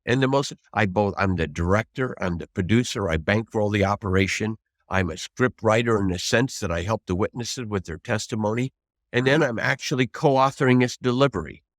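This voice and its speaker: A man in his seventies, a trial lawyer teaching advocacy in an emphatic, deliberate, conversational way with rhetorical prompts. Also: on the low side, no echo, clean studio sound. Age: 70s. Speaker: trial lawyer, interview guest.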